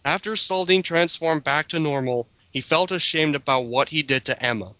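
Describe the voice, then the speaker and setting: A man's voice: on the high side, moderate pace, bright, forceful tone, no room sound. A man reading a text aloud as lead reader of an amateur dramatic reading, breaking into sarcastic, incredulous casual commentary.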